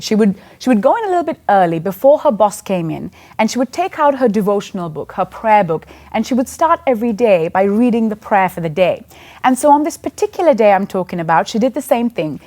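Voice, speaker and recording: A woman's voice: medium pitch, sing-song, a little fast, darkish tone. A woman in her thirties from Sri Lanka, telling a personal story in an earnest, conversational sermon. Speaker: church speaker.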